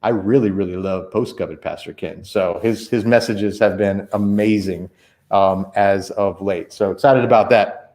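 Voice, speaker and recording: A chatty man in his forties hosts a church livestream interview. His voice sits fairly low, and he rambles through plenty of fillers and restarts. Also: steady tone, moderate pace, darkish tone.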